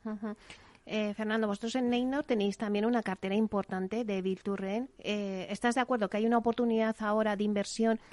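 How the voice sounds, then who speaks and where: A woman's voice: medium pitch, fairly even intonation, a little fast, darkish tone, no echo. A woman moderating a business round table, putting questions to the speakers. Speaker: panel moderator.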